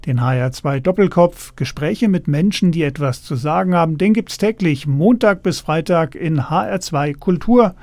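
A man's voice: fairly high pitch, rapid-fire, hardly any pauses, darkish tone, no echo. A man hosting a cultural radio interview, putting questions in a conversational way.

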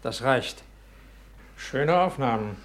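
An actor playing a reassuring, businesslike police sergeant in a German radio play, speaking a little haltingly. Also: male voice, mid-range voice, expressive.

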